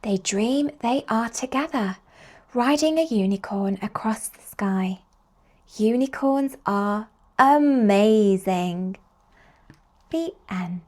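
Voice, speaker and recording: A woman reading picture books aloud to young children in an expressive, slow storytelling manner. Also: on the high side. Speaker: children's storybook reader and host.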